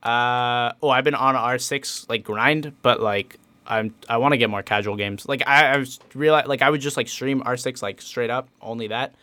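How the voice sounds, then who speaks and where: A man's voice: medium pitch, steady tone, moderate pace, hardly any pauses, sharp tone. A young man of nineteen hosting a podcast in casual chat, giggly and rambling with many fillers. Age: late teens. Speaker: podcast host.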